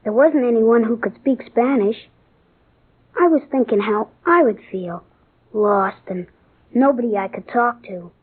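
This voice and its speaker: An American schoolboy, earnest and kind-hearted. The voice is fairly high and husky, with a plain, matter-of-fact delivery. It comes through a thin, tinny old radio drama recording. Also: female voice, a little slow. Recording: tinny, band-limited old radio broadcast.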